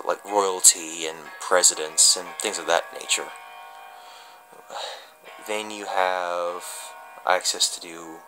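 A man giving an amateur dramatic reading of a horror story as a confessional, halting and stumbling, with drawn-out pauses. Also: sits low, pushed and bright, poor audio.

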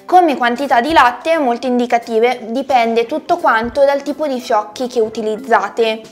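A woman's voice: on the high side, normal speed, faint music behind. Chatty young woman explaining a recipe. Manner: chatty and explanatory, with frequent fillers. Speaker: cooking channel host. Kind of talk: recipe tutorial, talking to camera. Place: home kitchen, indoors.

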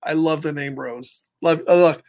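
A man's voice: fairly high pitch, moderate pace. An American man in his fifties reading a picture book to children expressively, with playful, chatty asides to his young viewers. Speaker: storyteller for children. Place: small home room, indoors.

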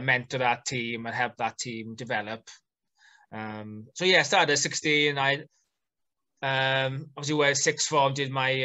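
Welsh male coach, 30s, bright tone, relaxed chatty guest on a webcam call. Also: mid-range voice. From Wales. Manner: relaxed, chatty, many ums and fillers. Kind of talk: casual video-call interview. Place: small home room indoors. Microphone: video call webcam.

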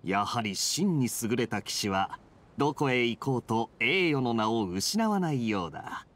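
A man voicing a relaxed, playful young male knight in an anime game, speaking smoothly and casually. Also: medium pitch, measured pace. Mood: suave, amused. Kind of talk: Japanese anime game voice acting.

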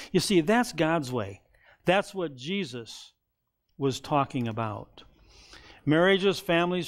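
An older man preaching a conversational sermon from behind a pulpit in a church sanctuary, acting out quoted voices as he goes. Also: on the high side. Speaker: pastor.

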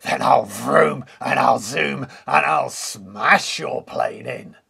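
A storyteller voicing a bullying, boastful wolf who shouts his taunts. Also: mid-range voice, slow, gravelly, slightly rough, heavily acted. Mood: taunting. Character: boastful bullying big bad wolf pilot.

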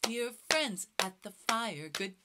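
A middle-aged woman teaching young children music, talking in an animated sing-song and dropping into hushed whispers, recorded at a webcam in a cozy room. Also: medium pitch, slow pace, very noisy. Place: cozy room full of toys and instruments.

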